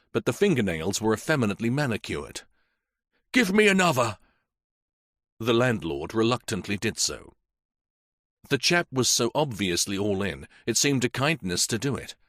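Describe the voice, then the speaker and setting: A male narrator reading a mystery novel in a steady, measured way. His voice sits on the lower side and is recorded dry, with no room sound around it. The delivery stays even and unhurried through the long descriptive passages. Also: dry recording. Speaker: audiobook narrator. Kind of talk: scripted narration.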